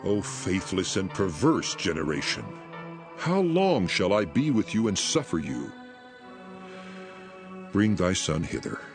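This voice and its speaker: An actor playing an earnest, authoritative male teacher in a dramatized scripture reading, solemn and emphatic in his measured declarations. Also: sits low, sing-song, exaggerated voice. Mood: rebuking. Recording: music behind the voice.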